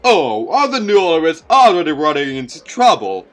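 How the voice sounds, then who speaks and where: A man voicing a teasing, jokey male teacher in a visual novel, with a smug, playful, loud manner. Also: fairly high pitch, very animated, normal speed.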